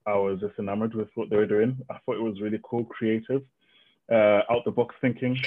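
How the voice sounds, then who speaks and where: A man from Zimbabwe with a somewhat low voice, speaking over a tinny, phone-like video call. He answers casually, stopping often to restart his sentences. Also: level tone, fairly quick. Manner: hesitant, full of ums and restarts. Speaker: guest occupational therapist.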